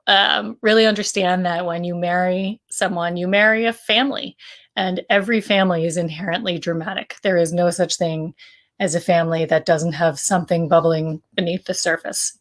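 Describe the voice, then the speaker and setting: A woman's voice: fairly low pitch, steady tone, normal speed. A middle-aged woman talking casually as a co-author, presenting a fiction podcast. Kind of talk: casual promotional talk about a story.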